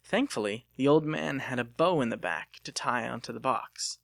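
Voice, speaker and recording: A man's voice: medium pitch, normal speed, gravelly, fairly bright tone, no echo, clean studio sound. A man narrating an audiobook as a dramatic scripted reading.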